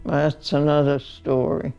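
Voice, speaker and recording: A woman, giving slow, measured spoken testimony with long pauses. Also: sits low, flat delivery, gravelly, mellow, clean tone. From Hungary. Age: 90s. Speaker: Holocaust survivor giving testimony. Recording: dull lo-fi sound with faint music underneath.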